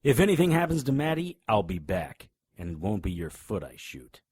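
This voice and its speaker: An actor playing a tough, wisecracking monster hunter in an audio drama, grim and cocky, with a husky voice. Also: male voice, on the low side, sing-song, normal speed, darkish tone. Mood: menacing. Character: hard-boiled male monster hunter, grim, cocky, threatening. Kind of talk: audio drama acting with noir first-person narration.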